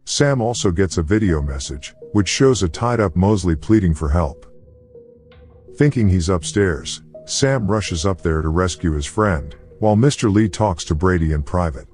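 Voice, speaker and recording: Guy doing movie recap narration, really deep voice, bit of music underneath. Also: lively intonation, moderate pace, darkish tone. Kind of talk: scripted narration.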